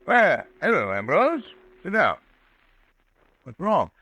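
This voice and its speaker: An actor playing a genial, concerned doctor friend in a 1940s radio drama, brisk and familiar. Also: male voice, a little high, wildly swooping pitch, lo-fi sound, soft background music, full character voice. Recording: old radio broadcast recording.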